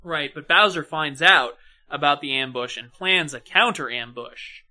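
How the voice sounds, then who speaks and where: A woman's voice: sits low, a little slow, bright, forceful tone. A woman co-hosting a podcast, giving casual, opinionated film commentary in a wry, sardonic way. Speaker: podcast co-host.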